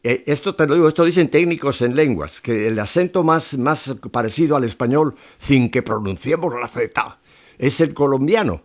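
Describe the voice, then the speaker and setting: A man's voice: medium pitch, expressive, normal speed. Mexican man, middle-aged, radio host; easygoing, joking talk. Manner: chatty, jokey, warm storytelling. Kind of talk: casual radio chat.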